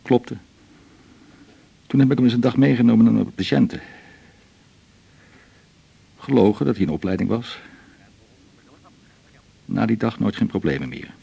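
An actor playing a melancholy man in his forties in a radio drama, with a gravelly, husky voice, confiding in a halting, reflective way. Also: on the low side, mellow, lo-fi sound, exaggerated voice. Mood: wistful, reflective. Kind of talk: naturalistic radio drama dialogue.

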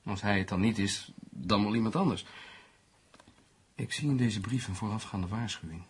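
An actor playing a skeptical, teasing newspaper journalist who reasons things out in a sardonic way, in a Dutch radio play. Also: male voice, lowish voice, moderate pace, breathy edge. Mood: pondering, analytical. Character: skeptical, teasing male newspaper journalist, analytical amateur sleuth.

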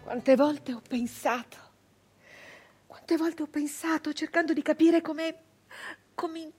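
An embittered, defiant brigand woman from the old Italian south, in a notably high voice. She delivers a theatrical monologue with insistent repetition and weighty pauses, able to move between hushed and forceful delivery. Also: faint music behind. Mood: haunted, subdued.

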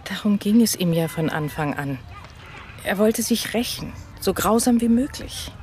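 A woman's voice: mid-range voice, animated, a little slow, darkish tone, a little hiss or hum, natural voice. An actress in a German radio crime drama playing a protective mother shaken by her daughter's kidnapping. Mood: subdued, grave.

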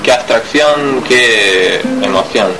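A man's voice: mid-range voice, slow, music in the background, rough recording. A man with an Argentine accent hosting a mock radio interview in a comedy show. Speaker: host.

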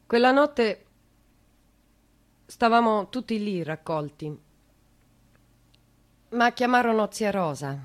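An actress playing a haunted woman from a poor family, telling a memory in a halting, colloquial way with a southern Italian accent, in a radio monologue. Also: fairly high pitch, lively intonation. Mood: haunted remembering. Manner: halting, repeats words, colloquial. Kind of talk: intimate dramatic storytelling monologue for radio.

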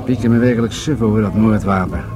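An actor playing a polite, world-weary police commissioner, formal and a little hesitant, in a Dutch radio play. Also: male voice, fairly low pitch, fast talker, music in the background, lightly acted. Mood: weary, musing.

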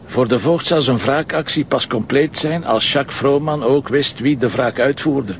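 A man's voice: medium pitch, moderate pace, a little hiss or hum, lightly acted. Terse, dry Flemish policeman played by an actor. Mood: wry. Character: blunt, wry male deputy police commissioner. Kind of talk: naturalistic crime drama acting.

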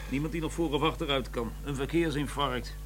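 An actor playing a wry, talkative police chief inspector in a radio drama. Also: male voice, mid-range voice, a little fast, slight background noise, lightly acted. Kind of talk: 1990s radio drama acting.

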